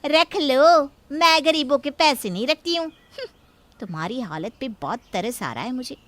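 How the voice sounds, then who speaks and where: A woman voicing a haughty, quick-tempered and scornful young woman in a cartoon moral story. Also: sits high, lively intonation, dry recording. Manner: sharp, scornful, demanding.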